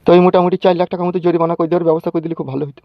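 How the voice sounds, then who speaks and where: A man playing a humble, fast-talking village father. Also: high voice, little pitch movement, gravelly, muffled recording, a little hiss or hum. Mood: deferential, bargaining. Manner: deferential, calculating. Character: humble, bargaining village father of a wronged daughter. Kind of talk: Bengali cartoon comedy dialogue.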